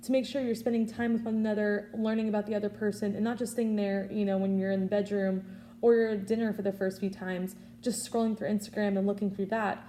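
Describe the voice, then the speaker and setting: A woman's voice: mid-range voice, flat delivery, quick pace, warm tone, slight background noise. A woman in her early twenties giving an informal prepared speech, offering earnest advice in a conversational way. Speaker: student public speaker.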